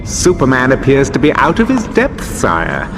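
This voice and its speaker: Sly, deferential alien henchman, lively pitch. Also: moderate pace, over music. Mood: sly deference. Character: smooth, deferential villainous male alien henchman. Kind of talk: animated superhero game voice acting.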